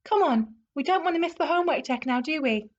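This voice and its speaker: Woman as a brisk teen schoolgirl in an audio drama, really high voice, teasing, lo-fi sound. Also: expressive. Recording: dull lo-fi sound under music.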